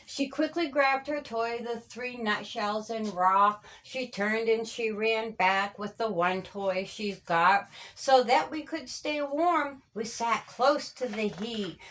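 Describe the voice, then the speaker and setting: A woman's voice: fairly high pitch, slow pace, hardly any pauses, fairly bright tone. A woman reading her own children's story aloud in rhyming verse, with a sing-song cadence.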